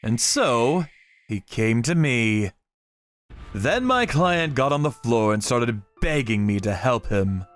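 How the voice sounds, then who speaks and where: A man reading scripted dramatic narration in a grave, measured way. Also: mid-range voice, sing-song. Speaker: narrator of a manga story, telling it in first person as a vigilante character. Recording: music behind the voice.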